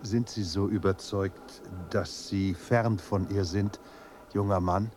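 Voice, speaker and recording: A man acting in a radio drama as a courteous, composed older Chinese monastery guide, speaking formally and with measured politeness. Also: lowish voice, fairly even intonation. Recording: echoey room, faint noise.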